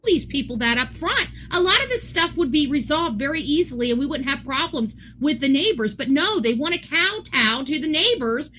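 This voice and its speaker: An American woman with a fairly high voice delivers off-the-cuff true-crime commentary. She is blunt and emphatic, lecturing the listener as she lays out her opinions. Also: expressive, moderate pace, hardly any pauses, sharp tone, a little hiss or hum. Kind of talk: unscripted opinionated monologue commentary.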